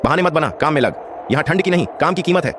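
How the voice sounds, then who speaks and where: Man doing a greedy, scolding shop boss; raised voice, quick and bossy. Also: on the high side, lively intonation, noisy background, lightly acted. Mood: angry. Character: harsh greedy male shop owner, middle-aged, stingy. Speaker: shopkeeper character. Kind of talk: animated story voice acting.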